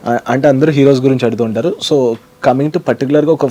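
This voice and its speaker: A woman's voice: very deep voice, very fast, dark tone. A woman with a Telugu Indian accent interviewing casually, slipping between two languages mid-sentence, with some noise around her. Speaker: entertainment interviewer. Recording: noticeable ambient background noise.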